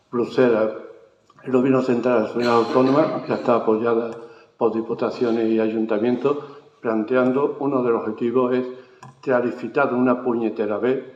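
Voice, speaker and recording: A man's voice: mid-range voice, darkish tone. A man moderating a round-table talk, firm and emphatic. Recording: echoey hall, dull sound.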